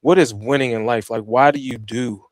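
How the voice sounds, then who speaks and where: A man's voice: medium pitch, fairly even intonation, moderate pace. A man hosting a podcast, talking in a laid-back, casual way and calling his guest "bro.". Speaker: podcast host.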